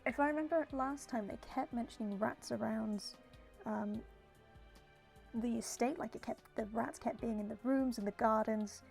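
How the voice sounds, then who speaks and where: A woman hosts a casual game playthrough, chatting and reading text aloud. Her voice sits fairly high with a croaky, creaky edge. Faint music plays behind her. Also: normal speed, darkish tone. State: slightly croaky voice. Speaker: gaming video host. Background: background music.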